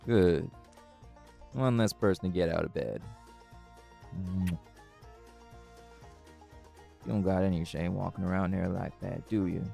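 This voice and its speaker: A man's voice: low voice, fairly even intonation, normal speed, vocal fry, pauses often, warm tone, soft background music. A man playing a charming, unbothered cowboy desperado in an intimate audio roleplay, folksy and suave with teasing endearments. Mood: amused, teasing.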